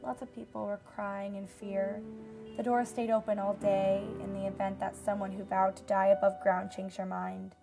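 A woman's voice: medium pitch, little pitch movement, normal speed, creaky, over music, lightly acted. A young woman playing a solemn nineteen-year-old disaster survivor giving testimony in a radio drama. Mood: somber, reflective. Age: young adult.

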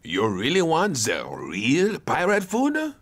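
A performer playing an excitable, jolly foreign palace chef in a cartoon, theatrical and fond of questioning tags. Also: mid-range voice, takes it slowly, exaggerated voice. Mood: showy. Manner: theatrical, tags phrases with "huh?".

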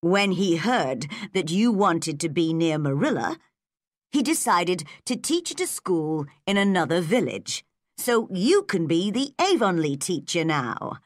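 A woman's voice: lowish voice, very animated, a little slow, no room sound, studio quality. A woman voicing a gossipy older village woman sharing news.

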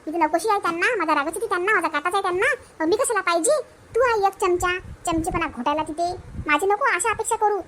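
A woman's voice: very high voice, fast talker, fairly bright tone, slight background noise. A woman voicing a bitter, defiant young wife, sarcastic in a cartoon family quarrel. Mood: sarcastic, resentful. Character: bitter, defiant young wife sulking at her parents' home.

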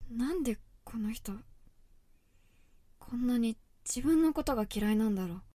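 A woman as an anguished high-voiced werewolf girl. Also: lively intonation, normal speed, warm tone, dry recording. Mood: brooding, hushed. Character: anguished young werewolf girl, resolute yet tearful. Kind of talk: Japanese voice drama acting.

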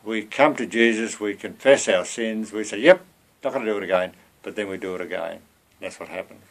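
A man's voice: fairly low pitch, fairly quick. A man in his seventies giving an informal, earnest, conversational sermon to camera as a lay preacher, often turning to rhetorical questions, recorded at home on a computer mic. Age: 70s. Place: small cluttered room at home.

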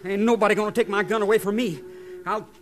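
A man's voice: high voice, steady tone, quick pace, natural voice. A man playing a hot-headed young cowhand in a western radio drama, with rustic, defiant speech. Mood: defiant, boastful. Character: hot-headed, boastful young cowhand, eager to prove himself. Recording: noisy old-time radio recording.

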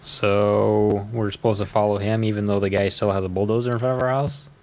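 A man chatting casually and reading game text aloud haltingly while he types. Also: on the low side, little pitch movement, a little mellow. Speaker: podcast host playing a game. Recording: clear noise, keyboard clatter. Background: computer keyboard typing.

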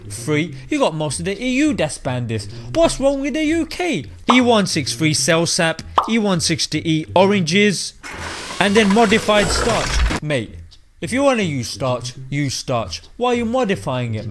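A man's voice: fairly high pitch, slight background noise. A young British man presenting scripted comic commentary to camera in a slangy, exaggerated, mock-indignant manner. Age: young adult. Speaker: comedy presenter. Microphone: desk microphone on boom arm.

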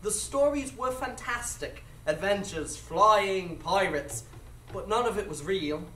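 A man's voice: high-pitched, lively intonation, slow pace, pushed and bright, full character voice. A man plays a nervous, deferential brother in a radio play. He sounds earnest and hesitant, slightly far from the mic in an echoey room. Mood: hesitant, apologetic. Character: nervous, polite, apologetic younger brother. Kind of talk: live radio drama acting. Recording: echoey live stage, distant, faint noise.

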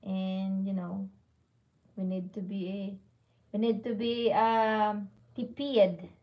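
Filipino woman, 40s, livestream host, slow casual delivery, slips into Tagalog, slightly lo-fi sound. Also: medium pitch, level tone. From Philippines. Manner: mixes Tagalog words into English. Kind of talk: casual livestream chat, reading viewer comments. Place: room at home. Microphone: phone at arm's length.

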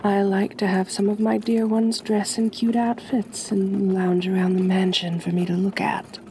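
A woman playing a kind, possessive aristocratic vampire mistress in an ASMR roleplay, speaking gently in a measured, genteel, soothing way. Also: medium pitch, level tone, a little hiss or hum, close to an everyday voice, rough recording. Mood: gentle, reassuring.

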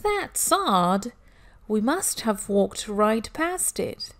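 A woman's voice: fairly high pitch, sing-song, very slow, heavily acted. A woman voicing a patient, reassuring mother of a young boy in a children's storybook. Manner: gentle, reassuring. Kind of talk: children's storybook read-aloud.

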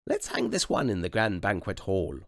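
A man voicing an imperious elderly queen in a shrill, pompous falsetto for a storybook. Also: wildly swooping pitch, moderate pace, gravelly, no echo, studio quality, exaggerated voice. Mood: grandly pleased. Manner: pompous, over-enunciated, refers to self as "one". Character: posh, imperious elderly queen, snappy and regal.

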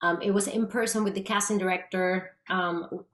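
Puerto Rican woman, animated and roomy. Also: mid-range voice, moderate pace. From Puerto Rico. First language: Spanish. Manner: animated, gestures a lot, restarts often. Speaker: actress and singer guest. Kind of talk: casual interview chat. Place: small room with plain grey wall, indoors. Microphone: video call webcam. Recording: roomy echo.

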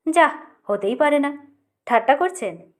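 A woman's voice: high voice, animated, measured pace, pitched above the performer's own voice. A woman voicing a playful man in a story who bluffs strangers with breezy, polite familiarity. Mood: teasing.

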